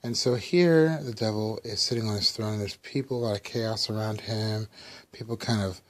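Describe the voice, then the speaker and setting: A man with a slightly raspy voice, casually talking through a weekly tarot reading with loose, rambling explanations. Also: fairly low pitch, moderate pace. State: slightly raspy, under the weather. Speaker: tarot reader.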